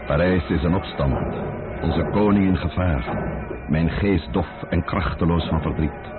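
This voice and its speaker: A man playing a grieving nobleman who reads out a solemn letter in a radio drama. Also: low-pitched. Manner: grave, sorrowful, measured. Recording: phone-like old radio with faint music.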